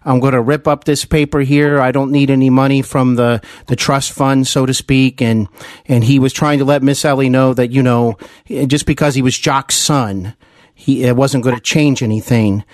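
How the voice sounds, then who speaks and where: A man hosting a podcast interview, speaking in a deferential, admiring and halting conversational way. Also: medium pitch, fairly even intonation, no echo, clean studio sound.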